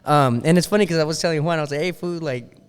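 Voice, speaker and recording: A man hosting a podcast, with a smooth, resonant voice pitched a bit high. He talks loose and friendly, full of slang and Spanish phrases, and the room rings with a long echo. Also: fast talker. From Los Angeles area, US. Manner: laid-back slang, mixes in Spanish. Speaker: podcast host. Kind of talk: casual interview chat.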